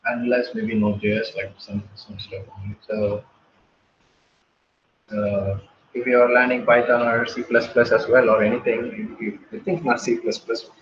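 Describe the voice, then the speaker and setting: A man's voice: medium pitch, flat delivery, measured pace, a bit bright, echoey room, muffled recording, slight background noise. A man with an Indian accent, casually leading a web development workshop over a call, with many fillers and restarts. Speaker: workshop guest speaker. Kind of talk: live online coding workshop.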